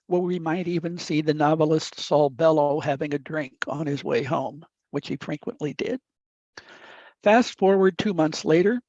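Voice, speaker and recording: A man's voice: fairly high pitch, darkish tone, no room sound. Older man, Italian native, Midwest-raised; reflective storyteller with frequent fillers; dull, dry recording. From upper Midwest United States. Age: 80s. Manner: measured, deliberate, with frequent uh fillers. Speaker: guest speaker.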